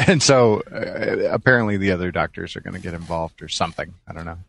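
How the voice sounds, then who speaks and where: Deep-voiced man in relaxed podcast conversation. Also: a little fast, gravelly, slightly rough, dry recording. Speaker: podcast co-host. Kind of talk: casual podcast chat.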